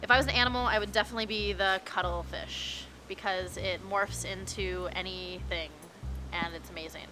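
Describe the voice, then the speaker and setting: A woman from Ohio answering street interview questions, animated and earnest. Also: mid-range voice, normal speed, music in the background, rough recording.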